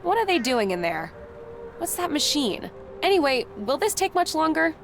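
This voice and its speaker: A woman's voice: high voice, very animated, moderate pace, creaky, faint music behind, heavily acted. A woman playing an inquisitive, impatient young female reporter in an adventure game. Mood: curious, impatient.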